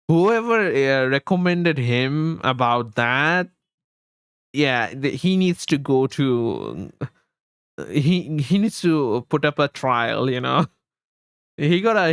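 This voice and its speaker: Guy chatting on stream, slow n halting, repeats himself, close dry mic. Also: medium pitch, fairly bright tone, clear, resonant tone, no room sound. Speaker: live streamer and host. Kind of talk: casual livestream chat with viewers. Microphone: close streaming microphone.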